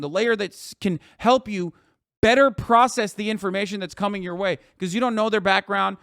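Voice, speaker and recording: A man live streaming, reacting to tweets and lecturing his chat in a profane, animated way full of rhetorical "okay?" asides. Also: high voice, no echo, clean studio sound.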